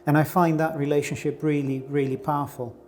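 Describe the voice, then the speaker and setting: Middle-aged male artist guest, from Greece, musing and unhurried, faint music bed. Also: a little high, level tone, a little mellow. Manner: thoughtful, searching, with many fillers and restarts. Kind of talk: reflective interview answers.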